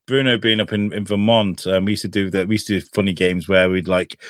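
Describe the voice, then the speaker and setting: A man's voice: deep voice, fairly even intonation, fast talker, no room sound, studio quality. A man from the UK hosting a casual podcast chat, playful and laughing often. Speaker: podcast host. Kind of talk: casual video-call chat.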